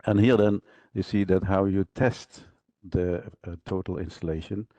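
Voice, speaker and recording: A man with a deep, mellow voice walks through technical slides as a product trainer. His delivery is halting and broken into short fragments as he searches for words. The sound is dull and roomy. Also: fairly even intonation. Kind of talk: unscripted slide presentation, technical walkthrough. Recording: dull, roomy online presentation audio.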